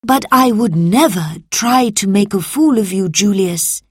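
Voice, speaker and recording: A woman's voice: medium pitch, animated, a little slow, breathy edge, no room sound, clean studio sound, pitched above the performer's own voice. Woman as an anxious, pleading Roman father. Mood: upset, pleading. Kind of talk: children's storybook character voice.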